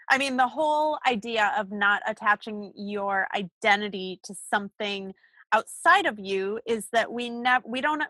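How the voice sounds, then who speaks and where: A woman co-hosting a podcast in casual chat, succinct and quick to laugh, recorded in a small closet. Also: a little high, bright, forceful tone, no echo. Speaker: podcast co-host.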